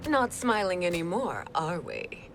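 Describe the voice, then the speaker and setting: A woman's voice: lowish voice, sing-song, unhurried, soft background music. An actress playing a cruel, condescending villain queen in a video game cutscene, speaking in a silky, cold voice. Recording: orchestral game score behind.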